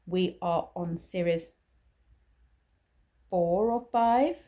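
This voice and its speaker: A woman chats to her viewers in an easygoing vlog, drifting from one thought to the next. Her voice is mellow and warm. She talks in a loose, rambling way and admits when she is waffling. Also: fairly low pitch, very slow. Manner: rambling, chatty, self-aware waffling. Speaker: vlogger. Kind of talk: casual vlog monologue.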